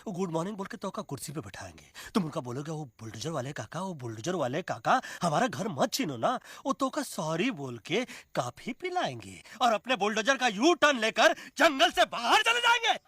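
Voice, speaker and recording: An actor playing a hot-headed, militant monkey commander in a cartoon film, blustering in a rustic eastern Hindi accent. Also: male voice, a little high, very animated, normal speed, sharp tone, slightly rough, no room sound, exaggerated voice. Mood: mocking. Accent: eastern Hindi heartland, Bhojpuri-Awadhi. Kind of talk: animated film voice acting.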